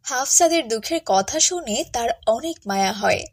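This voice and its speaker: A woman narrating a cartoon tale and voicing a gentle, caring, pious village mother. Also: a little high, animated, normal speed. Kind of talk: cartoon storytelling with character dialogue.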